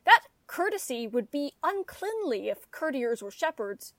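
Lady doing a simple honest shepherd, high voice, dry close sound, earnest shakespeare radio acting. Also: sing-song, a little slow, fairly bright tone, no echo, heavily acted. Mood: puzzled, defensive. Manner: earnest, plain-spoken. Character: simple, honest, contented country shepherd, male.

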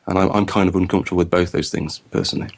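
A man co-hosting a podcast, chatting casually in a fairly low voice. He rambles and stammers over repeated words as he thinks aloud. His voice sounds a bit croaky. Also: steady tone, very fast. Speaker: podcast co-host.

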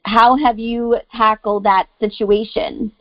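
A woman's voice: on the high side, little pitch movement, takes it slowly, no room sound. A woman hosting an interview show, an encouraging and upbeat interviewer in a conversational style. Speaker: podcast host. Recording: dry, slightly muffled lo-fi audio.

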